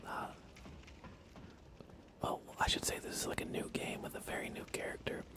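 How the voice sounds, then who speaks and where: A man whispers his way through live video game commentary in an ASMR style. His delivery stays hushed and soothing, with casual, unscripted phrasing throughout. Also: normal speed. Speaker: game livestreamer.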